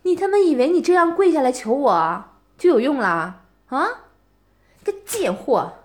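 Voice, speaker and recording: A woman's voice: sits high, big pitch swings, moderate pace. A woman playing a sneering, contemptuous young ex-girlfriend. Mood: scornful. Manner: sneering, mocking, profane, keeps taunting with "啊？". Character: scornful young woman berating her ex-boyfriend. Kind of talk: one-voice audio roleplay addressing the listener.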